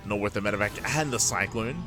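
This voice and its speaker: Man, fairly low pitch, live game commentary, explanatory, repetitive emphasis, prominent background music. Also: fast. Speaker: esports commentator. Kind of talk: live StarCraft match commentary.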